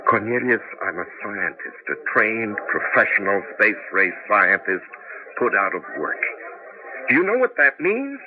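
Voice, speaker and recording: Middle-aged out-of-work scientist turned cabbie, weary and bitter, low husky voice with big swings, tinny old radio drama. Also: male voice, very animated, unhurried, slight background noise. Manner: weary, aggrieved. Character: bitter out-of-work space scientist driving a taxi, husband. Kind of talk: 1980s radio drama acting. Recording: tinny band-limited old radio broadcast.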